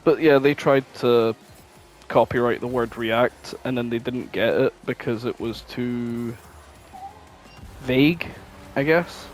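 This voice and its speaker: A man's voice: mid-range voice, slow, soft background music. A man co-hosting a podcast in casual, informal chat with plenty of swearing. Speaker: podcast co-host.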